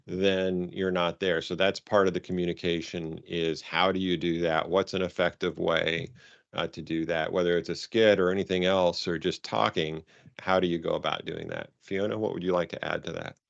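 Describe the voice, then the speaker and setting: A man with a very deep voice gives informal guidance to coaches. He speaks haltingly and rephrases for emphasis. The sound is dull, like a conference call, and quite dry. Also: little pitch movement, creaky, no room sound. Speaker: robotics coaching advisor leading a conference call.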